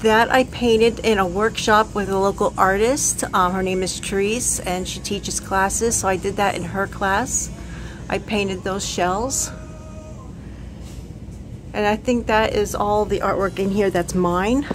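A woman's voice: medium pitch, fairly even intonation, measured pace, over music, rough recording. Chatty middle-aged woman showing her finds. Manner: chatty, with frequent ums and self-corrections. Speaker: antique booth vendor. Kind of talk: casual show-and-tell vlog. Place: living room, then car and antique mall.

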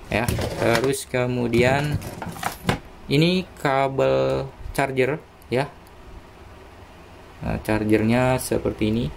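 A man's voice: medium pitch, creaky, noisy background. A man giving casual, unscripted unboxing commentary in an informal, hesitant, thinking-aloud way. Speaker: unboxing video presenter. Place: indoors, small room at a desk.

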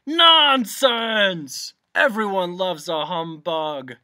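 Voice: mid-range voice, big pitch swings, unhurried, no echo, pitched above the performer's own voice. A reader voicing a blustering, boastful old bug, a self-important fraud in a storybook. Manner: pompous, shouting, boastful.

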